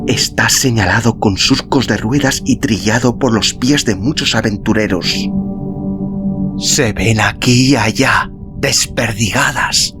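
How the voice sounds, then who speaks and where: A man reading a literary story as an audiobook narrator, in a measured, solemn, dramatic style with deliberate pauses. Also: medium pitch. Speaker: audiobook narrator and podcast host. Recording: music behind the voice. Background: background music.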